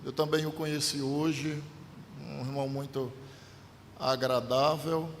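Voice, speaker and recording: A man's voice: medium pitch, little pitch movement, a little slow. A man, the local pastor, introducing a guest preacher to the congregation in a friendly, conversational way. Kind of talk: church announcement. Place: church hall. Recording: reverberant church hall with faint ambient noise.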